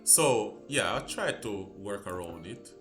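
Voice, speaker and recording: A Jamaican man hosting a gaming channel, talking through a game fix in a halting, casual way with many fillers and restarts. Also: fairly low pitch, animated, over music. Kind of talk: casual tutorial walkthrough.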